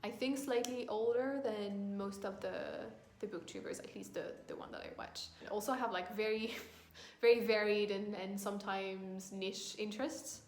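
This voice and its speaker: A middle-aged woman from France with a French accent, chatting thoughtfully through a book vlog with many pauses and restarts. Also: mid-range voice, fairly even intonation. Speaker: book vlogger. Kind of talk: casual tag-video chat. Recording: echoey room with faint music.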